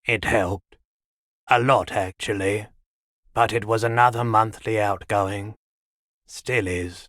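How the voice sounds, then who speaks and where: Slow, low-voiced weary shopkeeper. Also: male voice, a bit bright, no room sound, studio quality. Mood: weary, resigned. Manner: unhurried, low-key, matter-of-fact, dryly polite. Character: weary, mild-mannered male pawnshop owner, no longer young. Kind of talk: video game dialogue acting.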